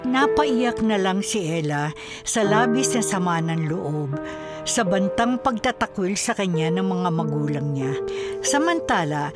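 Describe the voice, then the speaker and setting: A woman with a Filipino accent giving scripted narration as the storyteller of a radio drama. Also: medium pitch. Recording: dramatic music behind. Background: dramatic music.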